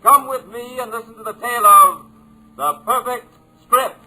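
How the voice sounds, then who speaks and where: An actor playing the eerie host of an old radio drama, slowly intoning a beckoning welcome. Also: male voice, extremely high pitch, noisy background. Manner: slow, intoning invitation. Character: eerie male host of the supernatural. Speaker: show's spooky host.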